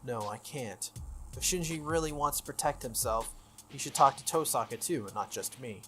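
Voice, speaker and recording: Playthrough commentator, mid-pitched voice, casual read-aloud, slightly halting, background music. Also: a bit bright. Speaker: video game player reading aloud. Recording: music behind the voice. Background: background game music.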